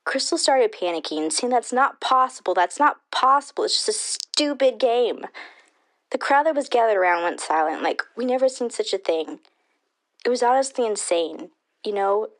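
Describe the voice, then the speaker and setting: A shaken female eyewitness played by an actress with a fairly high voice. She tells her story casually and chattily, as in a radio drama interview. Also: lively intonation, normal speed, lightly acted. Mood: disbelieving. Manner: casual, filler-laden storytelling.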